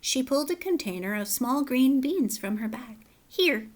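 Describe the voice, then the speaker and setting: A woman teacher reads a children's story aloud in a clear, steady voice, then explains its lesson with a few casual fillers. Her pitch sits slightly high. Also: moderate pace.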